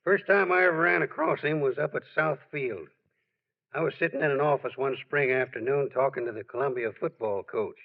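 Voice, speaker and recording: A man's voice: medium pitch, fairly quick, gravelly, natural voice. A man playing a wry, folksy sportswriter in a 1940s radio drama. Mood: reflective. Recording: tinny old radio recording with music.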